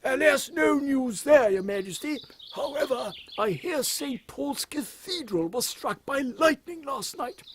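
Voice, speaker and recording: A man's voice: very high voice, animated, a little slow, fairly bright tone, full character voice. A man playing an ancient, deferential royal butler in a comic audio drama, stiffly formal and ornate in speech. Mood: dignified. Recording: faint noise with birdsong.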